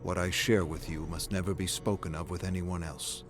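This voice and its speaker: A formal company officer guarding secrets, acted out by a male narrator, speaks with polite, measured care. The voice is raised and pressing, over faint storybook-style music. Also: low voice, steady tone, lightly acted. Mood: secretive, grave. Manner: measured, polite, hesitant phrasing.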